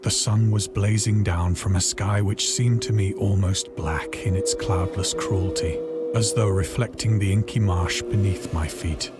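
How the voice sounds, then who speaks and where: Casting: male actor as haunted male castaway narrator for a horror game, low-pitched, grave and formal, with prominent music underneath. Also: fairly even intonation, lightly acted. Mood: grim dread. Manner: grave, formal, measured storytelling. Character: stranded man recounting a cosmic horror ordeal, haunted and grave. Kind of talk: scripted dramatic first-person horror narration. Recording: voice over prominent background music.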